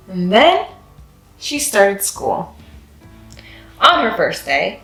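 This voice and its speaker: A woman narrating a children's read-aloud as host, with expressive, deliberate delivery for children. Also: medium pitch, reverberant, poor audio. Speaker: storybook reader, channel host.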